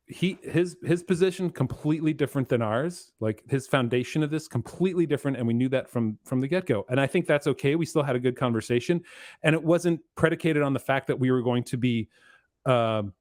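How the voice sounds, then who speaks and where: A man's voice: mid-range voice, darkish tone, no room sound, clean studio sound. A man hosting a podcast in a casual, informal and rambling way. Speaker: podcast host.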